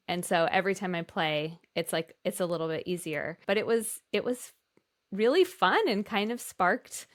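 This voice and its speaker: A woman's voice: fairly low pitch, a bit bright, clear, resonant tone. A middle-aged woman telling a personal story casually on a podcast, in an informal, emphatic way. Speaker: podcast panelist.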